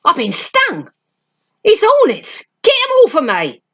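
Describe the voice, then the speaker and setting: A woman voicing a crude, bullying young male skinhead burglar from a storybook, with rough slangy speech. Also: extremely high pitch, wildly swooping pitch, unhurried, fairly bright tone, pitched above the performer's own voice, heavily acted. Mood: pained, shrieking.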